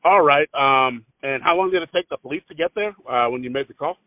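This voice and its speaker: A man asking casual questions as an interviewer on a phone call, in a halting, hesitant and rambling way. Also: on the high side, dry recording. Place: telephone call. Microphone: phone line. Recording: narrow muffled phone-line audio.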